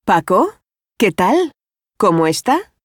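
An actress playing a friendly adult woman who works at a bank, speaking clearly and without hurry in language-course dialogues. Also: medium pitch, big pitch swings, slow pace, no room sound, clean studio sound, full character voice. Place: dry studio.

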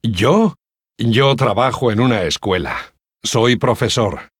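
An actor playing a courteous adult male teacher in a language-course dialogue, speaking slowly, clearly and politely. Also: medium pitch, lively intonation, no room sound, clean studio sound. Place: dry studio.

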